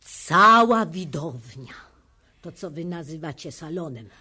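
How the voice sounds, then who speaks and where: An actress playing a proud, bossy old theatre widow in a radio play, grumbling and emphatic. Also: female voice, deep voice, expressive, slow pace, bright, forceful tone, full character voice. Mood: solemn, instructive. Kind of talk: Polish radio play acting.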